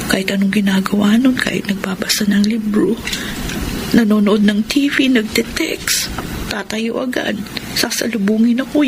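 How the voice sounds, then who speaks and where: A woman acting a grieving, lovelorn woman in a Filipino radio drama, with a tearful, drawn-out, breathy delivery. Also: fairly high pitch, noisy background. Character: grieving lovelorn woman, tender and longing, bereft of partner. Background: music behind the voice.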